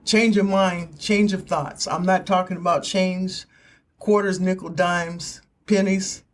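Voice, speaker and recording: Slow-spoken older woman giving earnest testimony. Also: on the low side, steady tone. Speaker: author giving her testimony.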